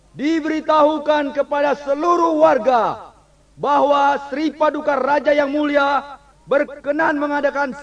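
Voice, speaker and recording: A man's voice: very high-pitched, lo-fi sound. A man playing a bossy, impatient royal herald in an audio fairy tale, with an officious, scolding way of talking.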